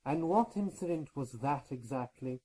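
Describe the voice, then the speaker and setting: Unimpressed reviewer played by a man: husky, questioning, mid-range, horror audio drama. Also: mid-range voice, expressive, normal speed, mellow, rough recording.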